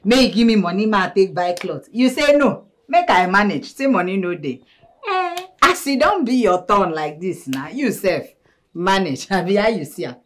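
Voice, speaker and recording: A woman's voice: mid-range voice, sing-song, normal speed, lightly acted. A woman with a Nigerian accent playing a sassy, teasing, emphatic wife in a film comedy. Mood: scolding. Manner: emphatic, playful, repetitive. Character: sassy, teasing wife bossing her man. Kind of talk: film acting in Nigerian Pidgin.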